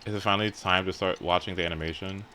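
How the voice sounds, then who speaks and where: A young man with a low voice and a bright, forward tone chats while live streaming a game. He talks in a loose, rambling, informal way with casual swearing, over faint background noise. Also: steady tone, fast talker. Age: young adult. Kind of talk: casual livestream chat while playing a game.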